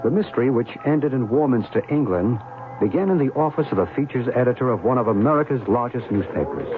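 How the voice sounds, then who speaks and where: Earnest, deliberate UFO expert, a man acting, radio drama, animated melody, tinny narrow-band sound. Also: mid-range voice, close to an everyday voice. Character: knowing male UFO investigator telling his story. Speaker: actor, narrator. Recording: tinny old radio recording with loud music.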